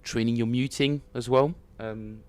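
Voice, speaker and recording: A man with a French accent, chatting casually and self-mockingly with viewers as he streams his bass practice, often chuckling. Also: fairly even intonation, measured pace, darkish tone, faint music behind. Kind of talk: casual livestream chat.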